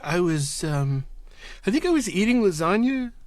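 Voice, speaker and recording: A man plays an awkward male caller who clings to tech support in a comedy sketch. His voice is high and swings about animatedly, yet he speaks in earnest, hesitant bursts. The sound is close and dry. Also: sing-song, normal speed, no echo. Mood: earnest, oblivious.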